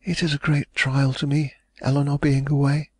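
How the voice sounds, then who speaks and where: A man's voice: fairly high pitch, little pitch movement, moderate pace, husky, no echo, voice pushed up. An anxious, agitated young heiress, voiced by a man reading a novel aloud, pleading in a raised, breathless voice. The sound is close and dry.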